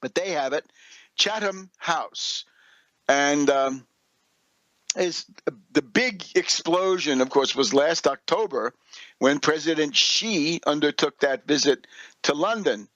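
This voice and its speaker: A man's voice: on the high side, takes it slowly, a bit bright, no echo, rough recording. An American man hosting a radio commentary, reading out and remarking on material in an emphatic, opinionated and sardonic manner. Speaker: radio show host and political commentator. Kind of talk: political commentary, partly reading articles aloud with asides.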